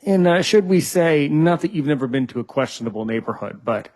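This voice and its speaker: A man's voice: on the high side, lively intonation, fairly quick, darkish tone. A man hosting a podcast interview over a video call, joking and teasing his guest, with lots of restarts and "you know". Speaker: podcast host.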